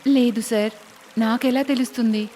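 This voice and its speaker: Woman voicing an earnest, high-voiced young village woman. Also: fairly even intonation, normal speed, dark tone, a little hiss or hum, natural voice. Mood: earnest, encouraging. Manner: respectful, encouraging, earnest. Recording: long reverb, music behind.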